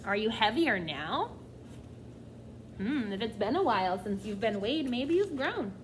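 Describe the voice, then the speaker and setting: Animated high-voiced woman reading to children. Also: measured pace, a little hiss or hum, poor audio. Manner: expressive, questioning, addresses young listeners directly. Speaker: teacher reading aloud to children. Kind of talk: children's nonfiction read-aloud with asides.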